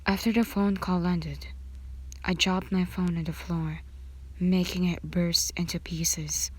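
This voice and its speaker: A woman narrating a scripted fan fiction story in ASMR style, breathy and often half-whispered. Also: lowish voice, flat delivery, takes it slowly.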